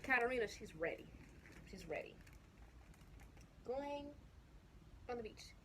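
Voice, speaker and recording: Slow-reading woman, a little high-voiced. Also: expressive, fairly bright tone, slight background noise. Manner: chatty, addresses young listeners with questions and asides. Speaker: storybook reader. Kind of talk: casual read-aloud of a children's picture book with asides.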